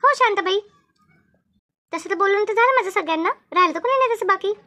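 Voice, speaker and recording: Harried cartoon village hostess woman actor: piercingly high, rapid, repetitive, fussy. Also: expressive, bright, forceful tone, exaggerated voice. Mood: defensive, hurried. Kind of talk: animated cartoon comedy dialogue.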